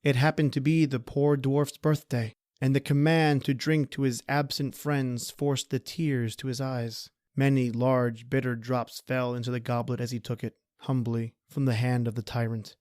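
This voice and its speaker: A man's voice: mid-range voice, level tone, normal speed, few pauses, darkish tone, no room sound, studio quality. A man narrating a scripted horror story in a dramatic radio-play style.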